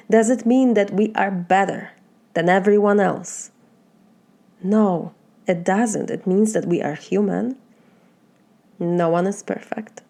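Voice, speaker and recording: A woman from Poland with a Polish accent, speaking as a life coach in a motivational talk and asking slow, earnest, reflective questions. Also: mid-range voice, vocal fry, mellow.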